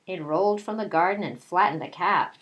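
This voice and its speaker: A woman with a fairly low voice speaks as a kindergarten teacher at story time. Her delivery is conversational and aimed straight at young children, in the style of a picture-book read-aloud mixed with casual chat. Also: brisk, a bit bright, poor audio. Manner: conversational, addresses children directly with questions.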